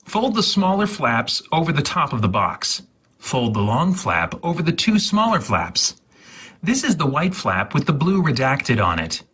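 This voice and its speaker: A man giving a deliberate, dramatic reading of step-by-step instructions with exaggerated enunciation, in a husky, breathy voice. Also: on the high side, huge pitch swings, fairly bright tone. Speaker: host.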